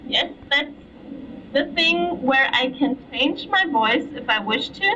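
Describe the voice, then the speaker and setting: A young woman giving a slow, explanatory live product demo at a trade fair booth, often checking in with "okay?". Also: high-pitched, animated, sharp tone. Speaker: product demonstrator. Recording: dull distant audio with trade fair noise.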